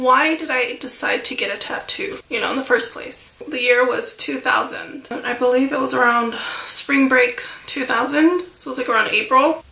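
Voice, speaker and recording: A woman in her thirties with a high, bright voice that runs gravelly at the ends of phrases, stuffy from a lingering cold. She rambles through a casual vlog story full of "like" and "you know." The room around her is echoey. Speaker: vlogger.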